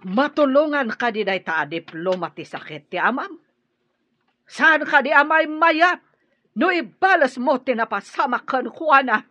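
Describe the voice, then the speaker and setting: An actress playing a bitter, hard-nosed warrior clan mother in an Ilocano radio drama, scolding and forceful. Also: high voice, animated, a little slow, no echo, muffled recording, full character voice.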